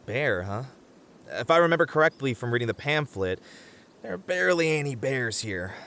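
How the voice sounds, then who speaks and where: A man's voice: mid-range voice, lively intonation, moderate pace, fairly bright tone, slight background noise. A man playing a cautious, sensible young camper in an ASMR roleplay drama, friendly and reasoning, wry and easily exasperated. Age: young adult.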